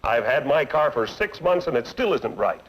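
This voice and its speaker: A man's voice: mid-range voice, sing-song, moderate pace, a little hiss or hum, lightly acted, low-quality recording. A man playing a fed-up, accusing car owner. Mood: angry. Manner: blunt, accusing, sarcastic. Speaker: dissatisfied customer. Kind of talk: 1950s training film acting.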